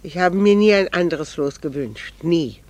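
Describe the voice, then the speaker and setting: A woman's voice: on the low side, expressive, moderate pace, darkish tone, close to an everyday voice. Actress as a composed, earnest married woman, formal diction. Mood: restrained, devoted. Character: composed, devoted wife quietly confessing a renounced love. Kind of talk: 1960s German radio drama acting.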